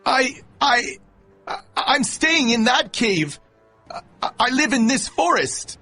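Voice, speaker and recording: A man's voice: extremely high pitch, takes it slowly, exaggerated voice. A man playing a timid, kind-hearted runaway slave in a children's cartoon, earnest and exclamatory. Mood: frightened pleading. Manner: exclamatory, over-expressive. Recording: music underneath.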